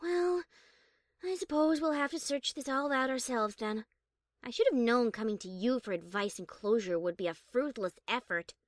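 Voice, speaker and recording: Woman playing a high-pitched, haughty, refined pony lady. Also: normal speed, dry recording, studio quality, lightly acted. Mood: dry teasing. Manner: refined, formal, drily sardonic. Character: prim high-class female pony, haughty yet fond. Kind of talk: fan audiobook character acting.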